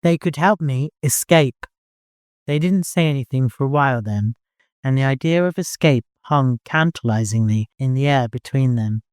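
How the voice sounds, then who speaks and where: An audiobook narrator with a middle-pitched voice reads dramatic fiction. The recording is close and very dry. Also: normal speed, darkish tone, clear, resonant tone, no room sound. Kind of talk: scripted dramatic story narration.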